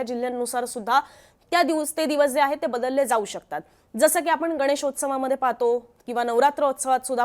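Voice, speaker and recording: High-pitched Maharashtrian woman explaining the news. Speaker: news presenter.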